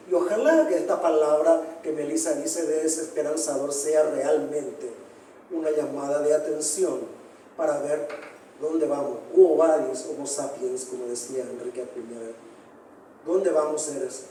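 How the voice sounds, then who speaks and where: A man speaking as a psychoanalyst gives earnest, declamatory remarks full of rhetorical questions. His mid-high voice is mellow in tone, caught from far off in a large echoing hall with faint noise. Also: steady tone, a little slow. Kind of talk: panel discussion remarks. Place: large hall, book presentation.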